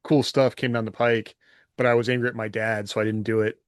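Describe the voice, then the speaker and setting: A man's voice: mid-range voice, flat delivery, brisk, clean tone, no echo, studio quality. A middle-aged American man chatting casually as a podcast co-host, candid and self-deprecating. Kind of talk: casual chat.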